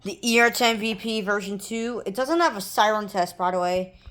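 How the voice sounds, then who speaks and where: A woman giving a casual, rambling, halting show-and-tell of gear as a hobbyist presenter. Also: medium pitch, fairly bright tone, clear, resonant tone. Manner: halting, rambling, improvised delivery. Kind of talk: casual product showcase. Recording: noisy room with clicking handling sounds. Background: noise, clicks, radio broadcasts.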